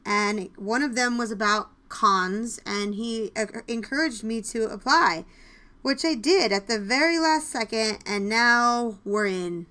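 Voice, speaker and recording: A woman hosting a podcast in casual, chatty style, with an excitable, effusive manner. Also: on the high side, a little slow. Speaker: podcast host. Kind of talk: casual podcast chat with announcements.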